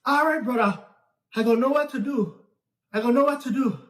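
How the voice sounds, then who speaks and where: A storyteller voicing a cocky, lazy male trickster with a Caribbean English accent, in a high squeaky voice. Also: a little fast, close to an everyday voice. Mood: sly. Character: boastful lazy male trickster, Bro Nancy. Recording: echoey room, distant mic.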